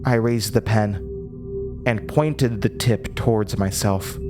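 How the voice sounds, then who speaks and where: A man playing a disturbed, haunted storyteller in a horror audio drama, speaking in a halting, theatrical way over music. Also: fairly low pitch. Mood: entranced. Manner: halting, theatrical, with nervous laughter and drops into whispers. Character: disturbed, haunted storyteller recounting a horrifying childhood. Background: prominent music.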